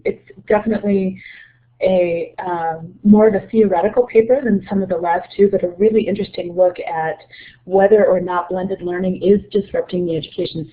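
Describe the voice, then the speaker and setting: A woman with a slight cold giving an explanatory, measured webinar presentation as a presenter. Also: fairly low pitch. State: getting over a cold, slightly low voice. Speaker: presenter, report co-author. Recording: narrow muffled phone-like line.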